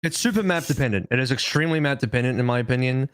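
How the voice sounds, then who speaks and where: A man's voice: mid-range voice, rapid-fire, clear, resonant tone, no room sound, clean studio sound. A man giving casual, opinionated analysis as an esports podcast co-host.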